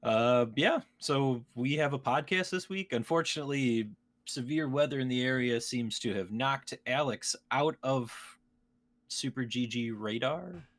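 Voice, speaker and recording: A man's voice: mid-range voice, unhurried. Joking man hosting a casual podcast. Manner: joking, playfully teasing banter. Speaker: podcast host. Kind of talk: casual comedic podcast chat.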